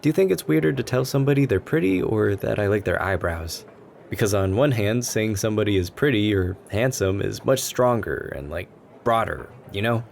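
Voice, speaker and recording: Man playing a shy adorkable guy, deep gravelly voice, gentle nervous rambling, asmr roleplay. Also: animated, brisk. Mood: rambling, pensive. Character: shy, anxious, adorkable young stranger in a coffee shop. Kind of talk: ASMR roleplay, soft conversational monologue. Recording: background ambience noise. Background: coffee shop ambience.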